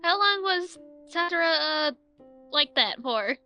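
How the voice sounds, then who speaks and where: High, bright, playful woman roleplaying. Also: lively intonation, slow. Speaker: player in a tabletop roleplaying game. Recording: music under the voice.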